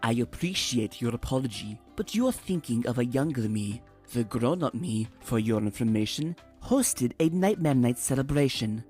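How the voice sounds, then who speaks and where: A woman playing a proud, formal zebra mare in a cartoon comic dub, with measured, stilted speech. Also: extremely low pitch, lively intonation, mellow, soft background music. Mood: composed, formal.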